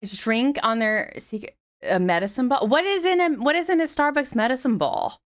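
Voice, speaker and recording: Chatty streamer woman, slightly raspy. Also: on the high side, moderate pace. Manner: chatty, swears freely, animated greetings. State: slightly raspy after flu. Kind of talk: casual stream chat greeting viewers. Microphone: headset.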